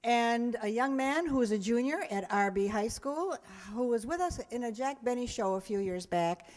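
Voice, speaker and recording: A woman's voice: on the high side, animated, normal speed, darkish tone. An American woman, director of a theater group, giving a chatty thank-you speech directly to her audience and cast. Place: live stage performance in a hall. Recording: echoey hall with audience sounds.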